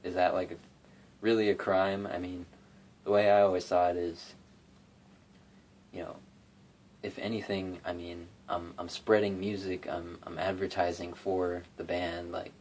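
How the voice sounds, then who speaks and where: A man's voice: low voice, little pitch movement, measured pace, darkish tone, a little hiss or hum. A young man rambling casually about covering songs, full of fillers and restarts, recorded on a phone in his bedroom. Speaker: YouTube cover singer and guitarist. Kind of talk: casual rambling vlog talk.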